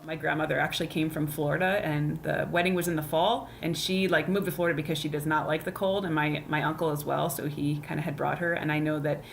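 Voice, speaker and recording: Low-voiced North American woman telling stories. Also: fairly even intonation, fast, gravelly, hardly any pauses, slight background noise. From Ohio, United States. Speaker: interview guest. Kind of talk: casual personal storytelling. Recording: guitar music behind.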